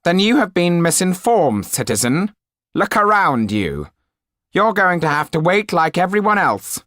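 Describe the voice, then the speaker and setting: A man's voice: sits high, big pitch swings, measured pace, fairly bright tone, no room sound, clean studio sound, voice pushed up, exaggerated voice. A man voicing an insolent, lizard-like doorman in an audiobook, sneering and brusque at those he turns away. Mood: scornful. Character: insolent lizard-like doorman with hooded eyes, petty revolutionary.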